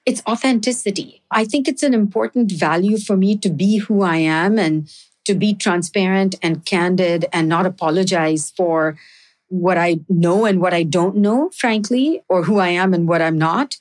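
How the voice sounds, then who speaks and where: A woman's voice: on the low side, normal speed. A middle-aged woman with an Indian accent, answering interview questions in a candid, earnest and reflective way. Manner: candid, earnest, says "frankly" and "right?" often. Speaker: guest, health system executive.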